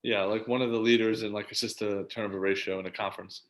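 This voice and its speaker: A man's voice: on the low side, little pitch movement, fast talker, low-quality recording. A man in his twenties chatting casually as an interview guest, informal and agreeable. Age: 20s. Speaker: interview guest, professional basketball player and coach. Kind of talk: casual chat.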